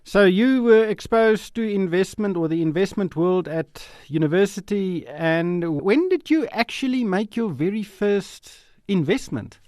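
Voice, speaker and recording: A man with a fairly high voice hosts an interview. He opens with a scripted introduction and then moves into conversational questions. The recording is dry and close. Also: animated, measured pace, hardly any pauses, a little mellow, no echo. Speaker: interview host.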